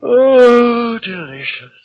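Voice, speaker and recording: A man's voice: very high voice, big pitch swings, very slow, lo-fi sound, noisy background, exaggerated voice. A man playing a polite, good-natured giant traveler in an old radio drama. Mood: genial. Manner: polite, amiable, obliging. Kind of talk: 1940s radio acting and narration. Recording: old radio broadcast with loud music behind.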